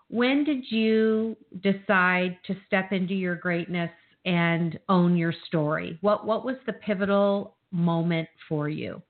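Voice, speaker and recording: A woman hosting an interview, asking slow, deliberate questions. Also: lowish voice. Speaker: host. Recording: phone-line audio.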